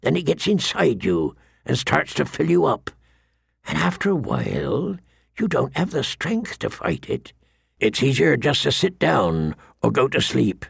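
A man's voice: mid-range voice, wildly swooping pitch, a little slow, creaky, breathy edge, no echo, clean studio sound, full character voice. A man voicing a grizzled, grim old man-at-arms in an audiobook, with grave, rustic, plain speech. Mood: grim, hushed.

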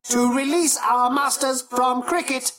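A narrator voicing a solemn, patient, emotionless robot with a rasping, mechanical, deliberate delivery in an audiobook. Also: high voice, voice pushed up. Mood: solemn, flat. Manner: slow, deliberate, flatly literal.

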